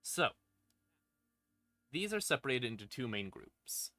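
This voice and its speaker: A man's voice: mid-range voice, very animated, a little slow, pushed and bright, no echo. A man explaining lore on a stream in a casual, unscripted, irreverent way, swearing freely. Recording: background music under voice. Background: ambient music.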